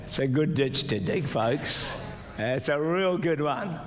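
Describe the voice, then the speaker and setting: Older pastor preaching in a reverberant hall. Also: male voice, lively intonation, slow pace. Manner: exhorting, rising to shouts, mimics doubters in a higher voice. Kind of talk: sermon. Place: large church auditorium with stage. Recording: live church hall reverb with music. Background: soft music and congregation responses.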